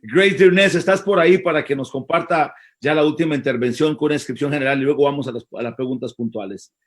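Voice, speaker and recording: A man's voice: a little high, quick pace. A man hosting an online church forum, thanking a speaker and handing over to the next guest with hesitant fillers. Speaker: host, moderator. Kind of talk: forum moderation.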